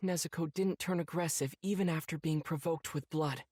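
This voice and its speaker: A man's voice: on the high side, fairly even intonation, brisk, breathy edge. A man playing an earnest, emotional teenage boy demon slayer, narrating reflectively in an anime game. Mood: calm, reflective. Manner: earnest, heartfelt, softly reflective.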